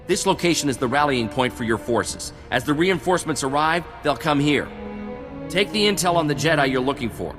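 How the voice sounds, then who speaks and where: A man's voice: a little high, animated, fairly quick, fairly bright tone. A man playing a blunt, harried, war-weary army captain in a video game. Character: harried, gruff-talking male army captain in wartime. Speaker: military officer. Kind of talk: dramatic scripted game acting. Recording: long reverb, music behind.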